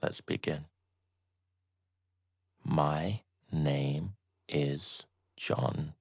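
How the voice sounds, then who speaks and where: A man reading a slow, scripted beginner English lesson, saying each short sentence twice with deliberate pauses. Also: very deep voice, vocal fry, no echo, clean studio sound. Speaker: English teacher.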